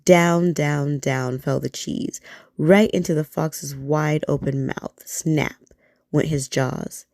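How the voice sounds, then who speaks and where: A woman reading a bedtime story aloud in a soft, gentle, soothing way, close to the mic. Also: deep voice, steady tone, slow pace, no room sound.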